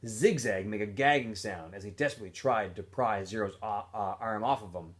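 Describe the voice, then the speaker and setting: A man reading a story aloud in an expressive storytelling style, acting out the dialogue. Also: fairly low pitch, a little fast. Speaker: teacher reading a novel aloud. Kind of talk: storybook read-aloud narration.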